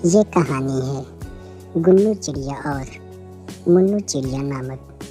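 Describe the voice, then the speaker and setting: A woman narrating a children's cartoon story in a gentle, slow way. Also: very deep voice, soft background music.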